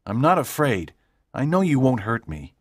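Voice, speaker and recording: Woman as a brave schoolboy, lively intonation. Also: extremely low pitch, normal speed, no room sound, studio quality, voice pushed down, exaggerated voice. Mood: determined. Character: brave, calm schoolboy, curious and determined. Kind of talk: audiobook character dialogue.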